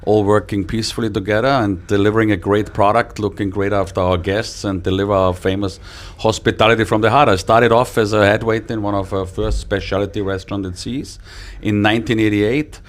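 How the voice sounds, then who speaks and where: A man's voice: lowish voice, fairly even intonation, brisk. A middle-aged or older man from Austria, a native German speaker with an Austrian accent, answering interview questions as an executive. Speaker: interview guest, cruise line executive.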